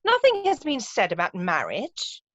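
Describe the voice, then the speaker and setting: A woman's voice: on the high side, huge pitch swings, normal speed, no echo. A woman playing a self-assured, romantic young upper-class lady in an amateur play read-through, speaking precisely and emphatically over a video call. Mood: coyly insistent. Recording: muffled, dull video-call audio.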